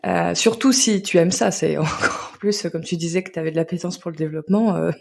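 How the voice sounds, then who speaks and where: A woman's voice: on the low side, a little fast, vocal fry, breathy edge. A woman with a French accent speaking as the host and interviewer of a podcast. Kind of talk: podcast intro and conversational interviewing.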